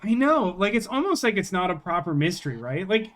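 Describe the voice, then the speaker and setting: Casual game streamer thinking out loud. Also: mid-range voice, sing-song, brisk. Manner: thinks out loud, joking asides. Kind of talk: casual gameplay commentary, reading dialogue aloud.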